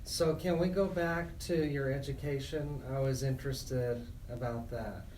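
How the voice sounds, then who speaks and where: A man's voice: medium pitch, fairly even intonation, unhurried, a little mellow. An American man chatting casually as the host of a radio interview. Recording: roomy, distant mic with faint noise.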